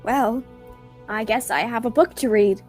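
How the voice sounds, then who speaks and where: A woman's voice: a little high, unhurried, faint music behind, natural voice. A young woman playing an exasperated, incredulous girl adventurer in a casual-sounding radio theatre performance. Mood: bewildered. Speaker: student radio theatre actor.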